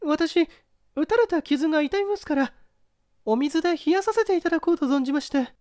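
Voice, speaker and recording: Woman voicing meek young maid, high pitch, humble, deferential, dry recording, audio mystery. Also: lively intonation, brisk, a little mellow, no echo. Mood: meek, pained. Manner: humble, very polite.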